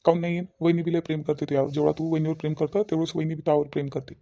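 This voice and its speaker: Man playing a loud, fast-talking pushy Varhadi buddy. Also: a little high, level tone, vocal fry. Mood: encouraging. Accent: Varhadi Marathi from Vidarbha. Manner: insistent, teasing, emphatic. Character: loud, pushy, good-hearted young male best friend. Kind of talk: cartoon phone-call dialogue.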